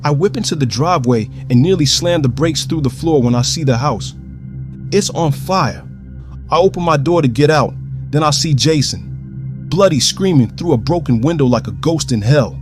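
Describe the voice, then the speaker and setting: Audio drama rescuer, male actor: urgent, alarmed friend, slightly high voice over clear music. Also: expressive, moderate pace, close to an everyday voice. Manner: urgent, tense first-person telling. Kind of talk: first-person audio drama narration.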